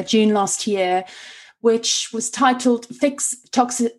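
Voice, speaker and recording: A woman whose first language is Afrikaans, hosting an interview and setting up questions for her guest. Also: medium pitch. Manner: warm praise, framing questions with caveats. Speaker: interview host.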